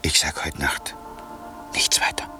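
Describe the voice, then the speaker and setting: A man's voice: unhurried, bright, forceful tone, whispered, soft background music. An actor playing a cold, resolute plotting nobleman in a classical German radio play.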